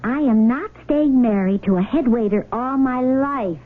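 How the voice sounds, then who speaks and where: An actress playing a hard, ambitious, domineering wife with commanding, emphatic delivery in a 1970s radio drama. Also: female voice, a little high, a little slow, natural voice. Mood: demanding. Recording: tinny old radio broadcast, faint noise.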